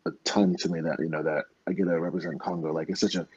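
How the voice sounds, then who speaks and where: A man's voice: low voice, little pitch movement, fast talker, darkish tone, husky, no room sound, lo-fi sound. A young American man, a professional fighter, talking earnestly in a casual interview, rambling with many restarts. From United States.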